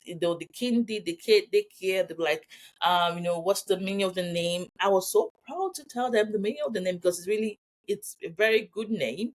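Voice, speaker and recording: Guest: Nigerian-accented woman in her thirties, chatty, mid-pitched, repeats herself and checks in. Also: brisk. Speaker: interview guest. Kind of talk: casual conversation.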